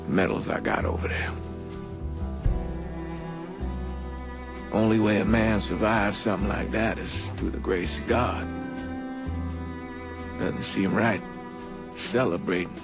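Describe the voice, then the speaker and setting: Elderly American soldier recalling war, modest, plain-spoken, reflective, game cutscene, prominent music. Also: male voice, on the low side, vocal fry. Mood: reluctant, humble. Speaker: war veteran.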